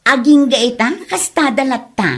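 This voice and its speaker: Woman acting an easygoing Filipino wife, slightly high voice. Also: moderate pace, a bit bright. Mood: cheerful, reassuring. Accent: Ilocano Filipino. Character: easygoing married woman vlogger, unbothered by gossip. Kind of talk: Ilocano audio comedy drama acting.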